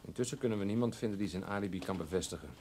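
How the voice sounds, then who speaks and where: An actor playing a tired, easily disgusted police detective in a radio drama. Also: male voice, deep voice, very fast, a little mellow. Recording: faint background noise.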